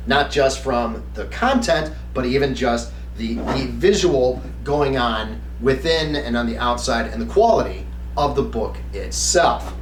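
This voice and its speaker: A middle-aged man hosting a review in an earnest, emphatic way, speaking to camera in a semi-scripted style. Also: medium pitch. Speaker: host, tabletop game reviewer. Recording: roomy, distant mic, faint background noise.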